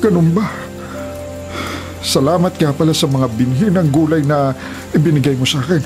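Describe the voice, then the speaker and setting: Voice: mid-range voice, normal speed, over music, natural voice. An actor playing a kindly, wary old forest elder who speaks with concern in a Filipino radio drama. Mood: kindly, cautioning. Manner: cautioning, concerned.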